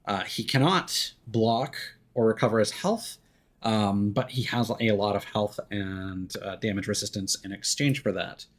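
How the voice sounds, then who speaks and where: A man giving casual live game commentary, rambling and thinking aloud with hesitant pauses. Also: fairly low pitch. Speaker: game streamer. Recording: game music behind the voice. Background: game music.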